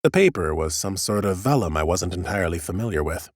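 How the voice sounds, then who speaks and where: A man narrating an audiobook in the first person as an ancient undead scholar, a fussy, smug, whimsical gentleman with a theatrical, drily humorous delivery. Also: deep voice, lively intonation, quick pace, no room sound, studio quality. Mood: curious, appraising.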